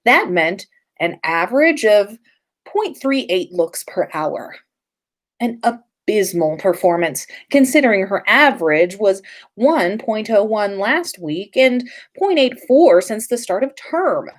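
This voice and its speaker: Female podcast narrator for fiction: animated, wide-ranging melody, unhurried delivery. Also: medium pitch. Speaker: podcast host reading fan fiction. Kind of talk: expressive story narration.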